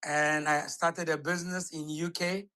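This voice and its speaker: A man's voice: on the high side, flat delivery, unhurried, pushed and bright. A middle-aged man from Ghana chatting casually on a video call, telling his own story as a business owner.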